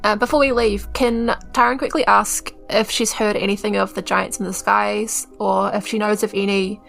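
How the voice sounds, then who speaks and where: A woman's voice: medium pitch, flat delivery, normal speed, gravelly, fairly bright tone, faint music behind. A woman with a New Zealand accent talking casually as a player in a tabletop game.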